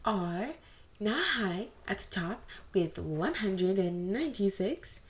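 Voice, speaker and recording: A woman voicing a brisk young woman who chairs a fan club meeting, speaking formally as if announcing to a group in a story. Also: mid-range voice, very animated. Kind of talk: storybook character voice.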